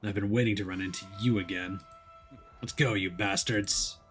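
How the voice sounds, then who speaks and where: A man's voice: fairly low pitch, steady tone, moderate pace, fairly bright tone, faint music behind, lightly acted. A man voicing a blunt, impatient paranormal detective in a dramatic game read-aloud. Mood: defiant. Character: gruff, defiant male paranormal detective, impatient and tough.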